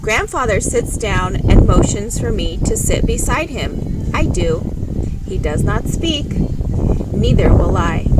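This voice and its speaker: A woman reads a children's story aloud at a slow, measured pace. She pauses between short phrases and gives them expression at a medium pitch. Loud background noise runs behind her voice. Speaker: storybook reader. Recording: loud steady background noise, vehicle-like rumble.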